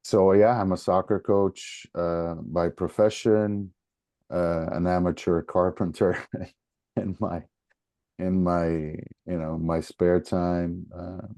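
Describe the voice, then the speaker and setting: A man from Portugal with a Portuguese accent, talking casually as a guest in a hesitant, halting way. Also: low voice, flat delivery, warm tone, no echo, studio quality. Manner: hesitant, halting, repeating words. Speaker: podcast guest, soccer coach. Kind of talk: casual conversation.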